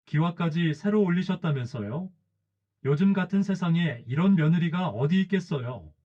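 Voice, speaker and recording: A man's voice: on the high side, animated, moderate pace, dark tone. Man as a gushing village woman, muffled. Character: gushing, gullible village women.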